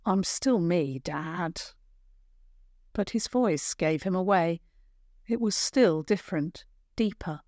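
A woman narrating a scripted children's adventure story in a measured, expressive storytelling manner. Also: lowish voice, dry recording, clean studio sound.

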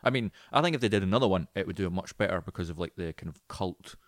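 Low-voiced man in casual, sweary chat. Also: expressive, quick pace, no echo, clean studio sound. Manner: informal, sweary, opinionated. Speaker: podcast co-host. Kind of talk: casual film and video game chat.

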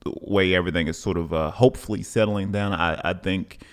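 Low-pitched man, halting and reflective. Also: steady tone. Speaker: podcast host, coach. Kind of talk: casual interview chat.

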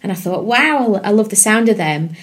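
A woman's voice: medium pitch, lively intonation, fast. A woman, chatting as a podcast guest, rambling with many fillers and restarts. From England. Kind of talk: casual interview chat.

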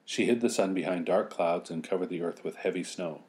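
A man's voice: deep voice, brisk, a little mellow. A middle-aged man reading a children's fairy tale as a storyteller, with joking asides.

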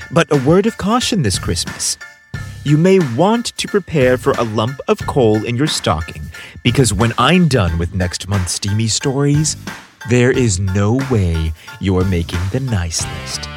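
A man's voice: mid-range voice, lively intonation, slow pace, faint music behind. A man narrating a scripted romance story as a podcast host, in a theatrical, playful storytelling manner.

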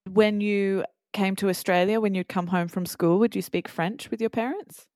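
A woman's voice: mid-range voice, fairly even intonation, normal speed, darkish tone, no echo, clean studio sound. A woman interviewing a guest, asking curious, encouraging questions.

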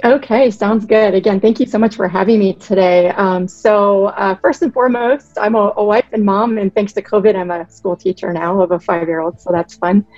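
A woman from Boston in her thirties, a podcast guest giving articulate, measured explanations of her work. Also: medium pitch, steady tone, a little mellow, no room sound, lo-fi sound, soft background music. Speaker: guest, product director. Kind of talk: podcast interview.

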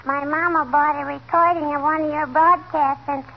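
A woman's voice: high voice, fairly even intonation, moderate pace. A woman playing a pert, mock-innocent little girl in a 1940s radio comedy. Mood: mischievous. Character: pert, bratty little neighborhood girl needling a grown man. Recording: muffled, noisy 1940s radio recording.

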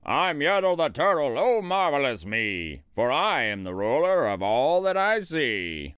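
A man voicing a boastful, power-hungry turtle king from a children's storybook, with pompous, commanding, drawn-out proclamations. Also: fairly high pitch, very animated, fairly bright tone, clear, resonant tone, dry recording, clean studio sound. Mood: exultant.

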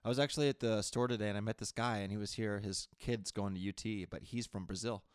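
A man's voice: on the low side, fairly even intonation, fast talker, smooth, no echo, studio quality. A man from Texas with a Texan accent, co-hosting a podcast in boisterous, opinionated casual banter, laughing often. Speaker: podcast co-host.

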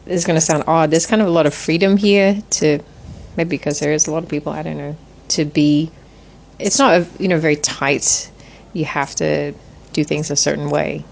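A woman in her forties, a guest in an interview, talking in a thoughtful, measured way with frequent restarts. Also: low voice, steady tone, creaky. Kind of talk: reflective interview conversation.

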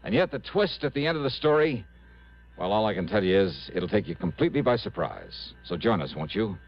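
A man's voice: fairly low pitch, sing-song. An actor playing a shrewd, confident male insurance investigator in a vintage radio drama, speaking in a pointed, dramatic manner. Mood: intriguing. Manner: pointed, deliberate, dramatic delivery. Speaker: star of a detective radio drama. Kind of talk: 1950s-60s radio drama acting with first-person narration. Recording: muffled vintage radio broadcast, faint music.

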